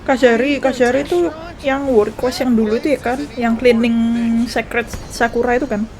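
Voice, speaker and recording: A young woman with an Indonesian accent giving casual, expressive and emotional gameplay commentary, mixing languages. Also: on the high side, a little fast, noisy background. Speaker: game streamer. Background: game music.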